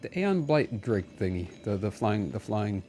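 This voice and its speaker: An easygoing game streamer talks casually with friends. The pitch swings widely through little exclamations, and game music plays softly behind the voice. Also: very animated, moderate pace. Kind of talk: casual gaming chat with friends. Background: video game music.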